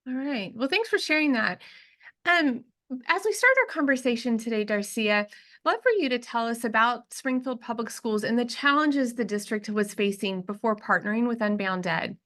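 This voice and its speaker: A woman's voice: a little high, expressive, normal speed, a bit bright. Poised woman webinar host. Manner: smooth, prepared delivery. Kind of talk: scripted webinar introduction.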